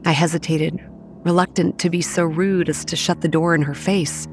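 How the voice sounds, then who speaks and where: Young female narrator, played by an actress for audio horror: low register, grim, measured, over faint music. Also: steady tone, lightly acted. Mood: grave, measured. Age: young adult. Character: young woman campground manager, grimly recounting childhood horrors. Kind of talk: scripted first-person horror narration. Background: soft music.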